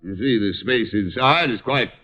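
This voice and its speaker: An actor playing a haughty, theatrically indignant collector in an old radio drama, with pompous, florid diction. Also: male voice, medium pitch, moderate pace, sharp tone. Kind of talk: old-time radio acting. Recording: muffled old radio recording.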